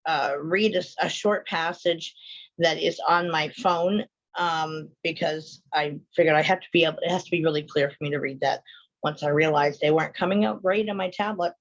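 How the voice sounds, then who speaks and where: A woman's voice: low-pitched, steady tone, normal speed, lo-fi sound. A woman hosting a live online show in casual, rambling, chatty talk, sounding sinusy and sniffly from allergies. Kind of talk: casual livestream chat with viewers.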